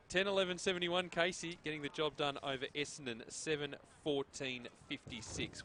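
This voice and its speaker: Chatty Australian sports host. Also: male voice, on the high side, pushed and bright, slight background noise. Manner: chatty, jokey, reads out scores. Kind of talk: football broadcast commentary.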